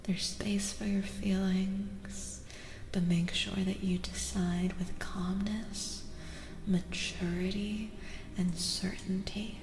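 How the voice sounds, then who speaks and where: A woman doing a soft-spoken ASMR tarot reading, moving between a breathy whisper and a soft voice, soothing and gently reassuring. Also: medium pitch, little pitch movement. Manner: soothing, gently reassuring, repeats phrases slowly. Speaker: ASMR streamer and tarot reader. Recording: reverberant echo with music behind. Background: music.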